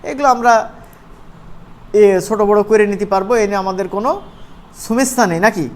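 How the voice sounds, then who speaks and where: A man with a regional Bangladeshi Bengali accent giving an informal software tutorial, conversational and often checking that his students follow. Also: high voice, fairly quick. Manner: conversational, often asks check-back questions. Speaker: animation course instructor. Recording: noisy room, clearly audible background noise.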